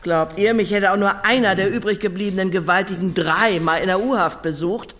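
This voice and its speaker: A woman's voice: medium pitch, fairly quick, a bit bright, lightly acted. An actress playing a middle-aged, bitter, foul-mouthed ex-convict, telling her story bluntly and sardonically in a radio drama monologue. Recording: faint music underneath.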